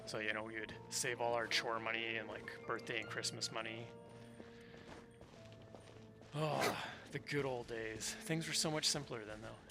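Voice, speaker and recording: An easygoing man chats casually as he streams a game. His voice is mid-pitched and conversational, with game music clearly heard behind him. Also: fairly even intonation, moderate pace. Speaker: game livestreamer. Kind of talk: casual chat while gaming.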